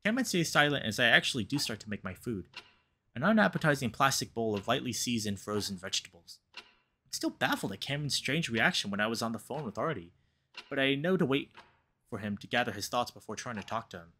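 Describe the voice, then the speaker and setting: A man's voice: medium pitch, fast, slight background noise. A man hosting a playthrough, expressively reading a visual novel aloud and reacting to it with commentary. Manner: animated, reacts aloud to the story. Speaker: let's play host, reader.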